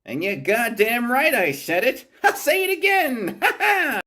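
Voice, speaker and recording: A woman's voice: fairly high pitch, sing-song, unhurried, a bit bright, pitched above the performer's own voice, full character voice. A woman putting on a gloating, boastful self-styled king. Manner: gloating, cackling taunts.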